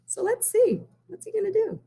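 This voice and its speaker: A woman teacher reading a picture book aloud to children, stopping to count coins with them. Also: very high-pitched. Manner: slow and expressive, pausing to count coins. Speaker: primary math teacher. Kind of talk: children's read-aloud lesson.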